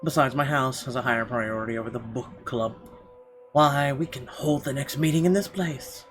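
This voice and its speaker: Man reading game narration, medium pitch. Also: moderate pace, fairly bright tone. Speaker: gaming video host. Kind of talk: reading visual novel narration aloud. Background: game music.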